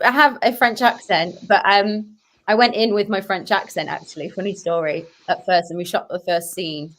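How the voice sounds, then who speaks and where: A woman's voice: medium pitch, fairly bright tone. A young woman from Cumbria with a Northern English accent, chatting animatedly as a podcast guest and telling stories with quoted asides. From Barrow-in-Furness, Cumbria, England. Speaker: guest, sketch comedian and actress. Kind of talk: casual podcast chat.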